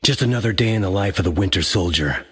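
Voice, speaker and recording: An actor playing a haunted male super-soldier, low-key, dry and grim in how he talks. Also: expressive, fast talker, slightly rough, big-room sound, full character voice.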